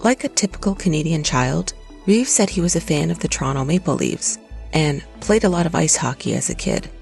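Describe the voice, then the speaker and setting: A narrator reading a scripted documentary in a steady, even way. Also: mid-range voice, brisk, creaky. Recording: light music underneath.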